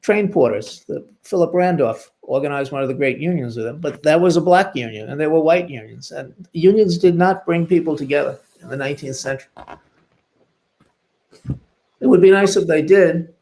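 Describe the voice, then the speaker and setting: A man's voice: a little high, animated, a little fast, hardly any pauses, a little mellow. An older man speaking on a discussion panel, making firm, declarative points and repeating phrases for stress. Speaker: panelist, history commentator. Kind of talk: spoken panel discussion, explanatory.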